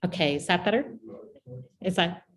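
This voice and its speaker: A soft-spoken middle-aged woman with a quiet voice, giving a friendly, informal public science talk as guest speaker. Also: fairly low pitch, normal speed, a crowd in the background.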